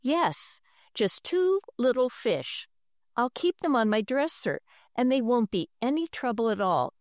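A woman doing an eager, high young boy. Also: big pitch swings, measured pace, no room sound, clean studio sound. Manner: eager, pleading.

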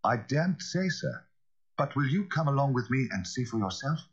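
An anxious old manservant in a storybook, voiced by a male narrator, deferential yet urgent. His voice is husky and lifted, swinging with worry, on a slightly dull recording. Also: medium pitch, sing-song, normal speed, voice pushed up. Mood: frightened. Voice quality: hoarse. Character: anxious loyal old butler, fearful for his master.

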